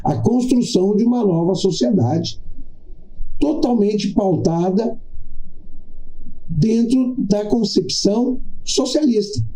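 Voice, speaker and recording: A middle-aged Brazilian man giving a political commentary monologue in a deliberate, didactic way, stressing points with repetition and pauses. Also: high voice, animated, warm tone, rough recording. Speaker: podcast host.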